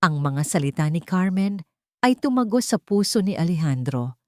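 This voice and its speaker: A woman narrates a dramatic romance story in a fairly low voice. The recording is dry and close, with hardly any room sound. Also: sing-song, normal speed, mellow, no echo.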